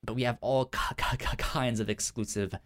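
Male casual podcaster, medium pitch, halting delivery with a noticeable stutter and repeated syllables. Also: fairly even intonation, a bit bright. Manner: halting, informal. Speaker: podcast co-host.

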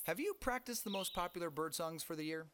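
Actor doing brisk forest animal boss in a radio skit, super fast, noisy audio. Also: mid-range voice, lively intonation, close to an everyday voice. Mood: brisk, rallying.

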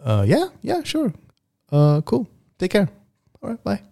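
A bubbly, curious young woman student, as a man puts her on in a raised, lilting voice. She chatters eagerly and asks her questions with bright interest. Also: high-pitched, wildly swooping pitch, measured pace, vocal fry, pitched above the performer's own voice, exaggerated voice. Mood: friendly.

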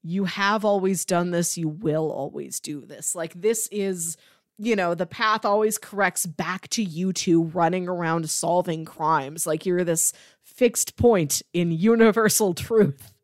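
A woman podcast co-host in a casual, enthusiastic chat about a TV series, quick to laugh. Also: on the low side, no echo, clean studio sound.

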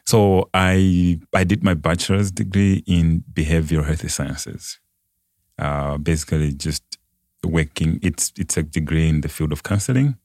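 A young man with a Malawian accent and an exceptionally deep voice. He tells his life story in a conversational way as a guest, in a dry recording with hardly any room sound. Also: fairly even intonation, a little slow, a little mellow, no room sound. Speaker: podcast guest.

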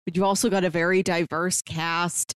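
A woman's voice: lowish voice, flat delivery, moderate pace, clear, resonant tone, dry recording, studio quality. A woman co-hosting a podcast, giving articulate, opinionated takes in a casual film review chat. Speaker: podcast co-host.

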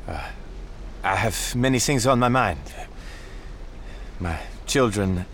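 An actor playing a troubled, tender father in an audio drama, speaking in a hesitant, halting way. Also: lowish voice, expressive, fairly bright tone, a little hiss or hum. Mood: nervous, evasive. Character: troubled, tender father emigrating with his young children.

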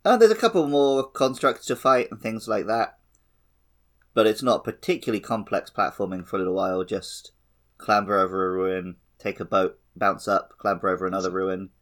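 A man's voice: lowish voice, moderate pace, a bit bright. A man co-hosting a casual podcast conversation about video games. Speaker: podcast host. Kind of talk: casual video game discussion.

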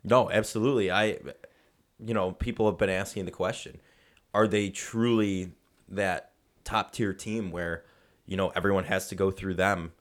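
An American man with a slightly hoarse voice, hosting a casual sports podcast as an enthusiastic, emphatic fan. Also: deep voice, fairly even intonation, normal speed.

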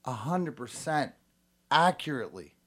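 A man's voice: mid-range voice, sing-song, a little slow. A man interviewing a guest in casual chat, rambling with many restarts and casual swearing.

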